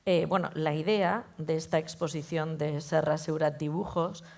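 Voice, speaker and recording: Low-voiced woman curator with a gravelly edge. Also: fairly even intonation, normal speed, a little mellow. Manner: explanatory, informal lecturing. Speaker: museum curator. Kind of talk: presenting an exhibition talk. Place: museum auditorium.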